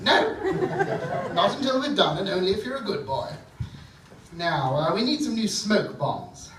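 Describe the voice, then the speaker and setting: A man's voice: sits high, big pitch swings, a little slow, fairly bright tone, full character voice. A man playing a fussy, petty evil doctor villain in a stage comedy, with hesitant theatrical delivery. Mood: scheming, fussy. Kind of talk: live stage comedy acting. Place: theatre stage, live performance. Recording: echoey, distant, noisy theatre recording.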